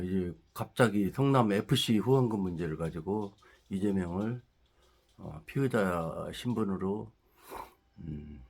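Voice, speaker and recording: A middle-aged man talks through a head cold, his voice stuffy and nasal. His pitch sits a little low, and he rambles in a casual monologue, firing off rhetorical questions as he heats up. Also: darkish tone. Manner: ranting, rising heated at points with rhetorical questions. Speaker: political commentary channel host.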